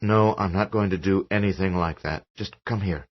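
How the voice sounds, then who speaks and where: This is a scared, desperate father who speaks urgently and demands answers, played by a male narrator. His voice is husky and sits a little low. The recording is dull and lo-fi. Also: moderate pace. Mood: soothing. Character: frightened desperate father, fierce and shaken. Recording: dull lo-fi audio.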